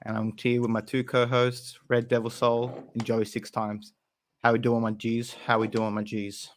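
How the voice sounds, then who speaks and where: A man's voice: medium pitch, monotone, normal speed. A man hosting a casual football podcast with his co-hosts, talking loosely and restarting his sentences. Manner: rambling, restarts a lot. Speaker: podcast host.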